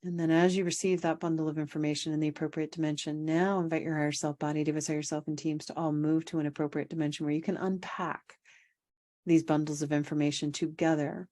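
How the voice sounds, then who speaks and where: A woman leading a guided meditation as a coach, speaking calmly and without hurry, with pauses and gently repeated phrases. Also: sits low. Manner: calm, unhurried, repetitive phrasing with pauses. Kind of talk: guided meditation, spoken instruction.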